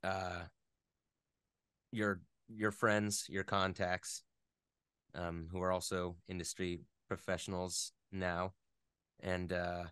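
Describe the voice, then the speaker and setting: Smooth, low-voiced man chatting enthusiastically. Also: level tone, slow pace, long pauses, fairly bright tone, no echo, studio quality. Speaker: voice actor, interview guest. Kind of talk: casual interview chat.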